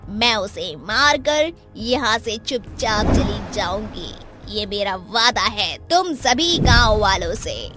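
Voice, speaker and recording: A woman voicing a wronged, vengeful ghost witch in an animated horror story, speaking dramatically and with grievance. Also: mid-range voice, over music. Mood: stern, bargaining. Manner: slow, dramatic, aggrieved telling.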